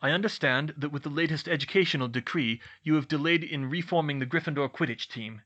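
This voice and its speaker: A man's voice: fairly high pitch, quick pace, sharp tone, dull audio. A man voicing a polite, genial but beleaguered middle-aged government boss with a wry manner, in an audiobook. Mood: polite, businesslike. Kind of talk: audiobook character voice.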